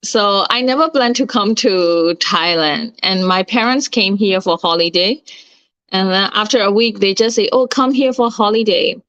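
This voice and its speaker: A woman's voice: mid-range voice, normal speed, a bit bright, smooth, no room sound. Chatty woman. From Myanmar. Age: 30s. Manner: chatty, searching for words with many likes. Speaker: guest. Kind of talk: casual interview chat. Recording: dull, slightly lo-fi sound.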